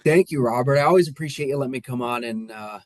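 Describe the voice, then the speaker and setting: A man's voice: medium pitch, fast talker, no room sound, studio quality. A man who works as a mortgage lender, talking upbeat and explaining market trends on a remote call. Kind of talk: casual podcast talk.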